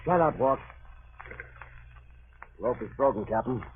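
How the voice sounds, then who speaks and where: An actor playing a practical, clipped ship's officer in a 1930s radio drama. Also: male voice, mid-range voice, lively intonation, measured pace, close to an everyday voice. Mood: curt, uneasy. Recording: tinny, faintly noisy 1930s radio broadcast.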